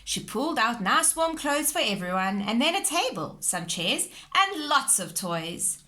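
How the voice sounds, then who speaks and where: Animated, slow-reading woman storyteller. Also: on the high side. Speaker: storybook reader. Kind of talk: scripted children's story narration.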